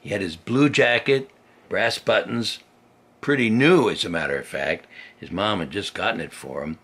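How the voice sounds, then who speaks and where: An older man, a grandfather, reads a children's story to a young child with expressive, playful delivery and simple chatty explanations, in a husky, gravelly voice. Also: lowish voice, normal speed.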